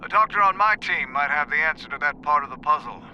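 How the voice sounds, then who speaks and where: A man's voice: on the high side, quick pace, muffled recording, a little hiss or hum, lightly acted. An actor playing a polished, persuasive man who funds research, in an audio drama. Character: smooth, persuasive male backer of the research.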